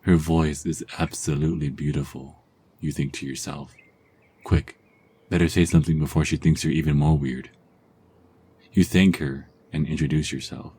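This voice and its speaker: A man narrating a second-person ASMR story in a calm, soft-spoken, husky voice. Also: extremely low pitch, moderate pace.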